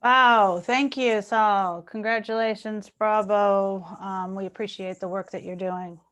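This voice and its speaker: Woman running a zoom workshop, quick cheery thank-yous. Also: mid-range voice, steady tone, fairly bright tone. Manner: upbeat, short affirmations. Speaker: workshop facilitator. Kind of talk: hosting an online workshop.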